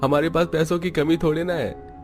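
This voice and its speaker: Man putting on a carefree, higher-pitched young husband. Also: steady tone, moderate pace, soft background music, natural voice. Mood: amused, dismissive. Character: idle, carefree young husband, breezy and dismissive. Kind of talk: Hindi cartoon family story dialogue.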